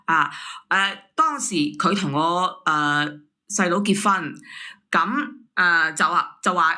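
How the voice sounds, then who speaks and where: A woman's voice: lowish voice, expressive, takes it slowly, pushed and bright. A woman with a Hong Kong Cantonese accent arguing as a caller, indignant, accusing and insistent. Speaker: caller airing a family dispute. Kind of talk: heated argument.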